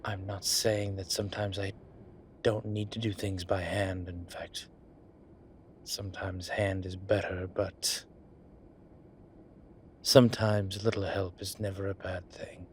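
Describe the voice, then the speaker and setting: A man playing a slow, low-voiced courteous incubus. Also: flat delivery, slight background noise. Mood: polite, playful. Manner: hesitant, polite, formal wording with pauses. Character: courteous, flirtatious male incubus demon, restrained and apologetic. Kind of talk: intimate roleplay audio drama.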